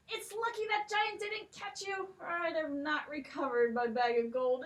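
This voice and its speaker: A woman's voice: high-pitched, fairly bright tone, recorded from a distance, exaggerated voice. A woman playing a fussy old king. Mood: relieved. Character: fussy, grateful old king, fond of his gold.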